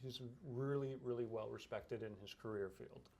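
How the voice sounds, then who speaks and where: A man's voice: medium pitch, steady tone, normal speed, vocal fry, darkish tone. Easygoing male interview host. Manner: easygoing, with frequent short agreements. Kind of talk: casual interview.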